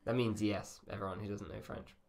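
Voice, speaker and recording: A man's voice: on the low side, level tone, brisk, gravelly, a loud crowd. A man hosting a podcast in a casual, rambling and self-deprecating way. His voice sounds slightly feminine. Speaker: podcast host. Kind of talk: casual chat.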